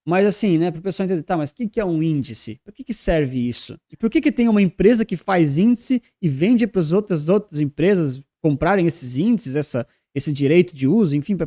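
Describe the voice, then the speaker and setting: Chatty podcast host, mellow voice. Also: male voice, on the high side. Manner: chatty, loose with fillers like "né" and "cara". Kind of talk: casual podcast interview.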